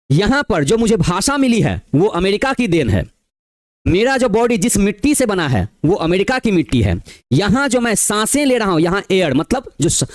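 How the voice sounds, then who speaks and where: High-voiced tutor. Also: male voice, dry recording, clean studio sound. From Bihar, India. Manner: explains poem lines in Hindi, reading English verse slowly; addresses students as "bachche", asks rhetorical questions. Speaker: teacher. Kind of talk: exam-prep class lecture.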